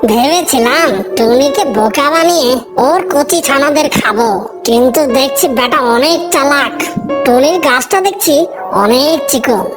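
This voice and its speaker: An actor voicing a sly, greedy, bullying fox in a children's cartoon, with a taunting, threatening manner. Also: high-pitched. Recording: music behind the voice.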